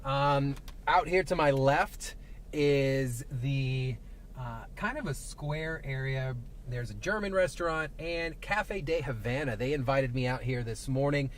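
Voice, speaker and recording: A man's voice: mid-range voice, measured pace. A man with a Southern twang, a traffic safety reporter chatting casually through a live stream from inside his truck, rambling with lots of fillers. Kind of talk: live-streamed casual commentary while driving. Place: inside a parked and moving truck. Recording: faint vehicle noise behind.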